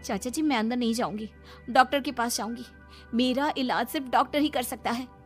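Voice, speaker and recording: A woman's voice: fairly high pitch, fairly quick, soft background music, voice pushed up, lightly acted. A woman voicing a defiant, educated young pregnant wife who pleads and insists indignantly in a cartoon drama.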